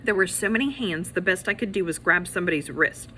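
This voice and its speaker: A woman reading a children's story aloud as a teacher, in an expressive, animated way that acts out the characters. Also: lowish voice, quick pace, a little hiss or hum.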